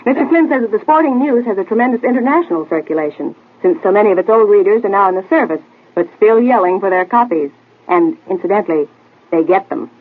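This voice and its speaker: An American actress playing a spirited, teasing young detective's assistant in a 1940s radio drama, pert in manner. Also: female voice, mid-range voice, animated, fast, lightly acted. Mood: bright, announcing. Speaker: detective drama co-star who introduces guests. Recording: tinny, band-limited old radio recording.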